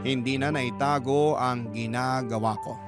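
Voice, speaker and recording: A man's voice: mid-range voice, steady tone, unhurried. A man reading a scripted first-person story as the narrator of a radio drama. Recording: loud music bed under voice. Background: music.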